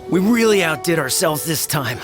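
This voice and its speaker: A man's voice: fairly high pitch, sing-song, normal speed, over music. An actor playing a greedy, gloating bandit henchman in a video game. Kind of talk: video game cutscene dialogue.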